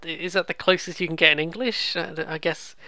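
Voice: medium pitch, fairly even intonation, quick pace, pushed and bright, soft background music. A let's play host commenting casually on a game, rambling and joking at their own expense while reading bits aloud. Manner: rambling, jokey, self-deprecating.

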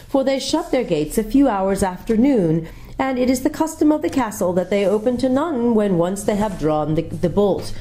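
A woman's voice: medium pitch, animated, moderate pace, warm tone, pitched above the performer's own voice, lightly acted. A woman voicing a lovely, charming lady in green who speaks sweetly and musically, trilling her R's. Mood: gracious.

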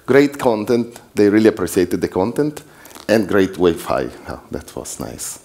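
Deep voiced italian speaking guy presenting his conference, accented english, bit halting. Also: very animated, darkish tone, slight background noise. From southern Switzerland. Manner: non-native English with restarts, slips and laughs. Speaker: conference organizer. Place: conference hall.

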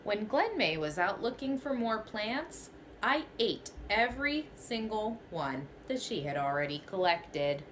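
Expressive young woman reading a picture book. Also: medium pitch, a little slow, a little hiss or hum, poor audio. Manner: expressive storytelling, first person as the goat. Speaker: teacher. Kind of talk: children's picture book read-aloud. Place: small bedroom, indoors.

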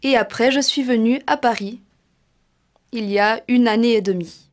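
A woman's voice: on the high side, moderate pace. A woman in her twenties from Switzerland talking informally to camera about herself. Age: 20s. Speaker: drama student presenting herself. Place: indoor studio.

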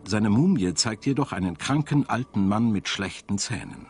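A man's voice: fairly low pitch, animated, normal speed, a little mellow. A man reading scripted narration for a documentary as a studio voice-over. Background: music.

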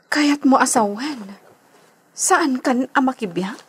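A worried mom in radio drama, highish voice, really expressive, bit of music. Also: female voice, takes it slowly, a little hiss or hum, full character voice. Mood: concerned. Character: concerned wife and mother.

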